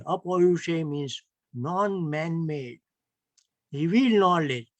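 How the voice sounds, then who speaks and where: A man with an Indian English accent lectures slowly and emphatically, and he often ends a point with a rhetorical tag question. His voice sits somewhat high for a man. The sound is dry and close to the mic. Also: dry recording. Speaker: lecturer at an online heritage camp. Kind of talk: online lecture.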